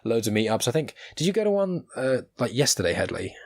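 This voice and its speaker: A man's voice: medium pitch, big pitch swings, fast talker, gravelly. A man hosting a casual podcast chat, talkative and rambling as he speaks. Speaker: podcast host.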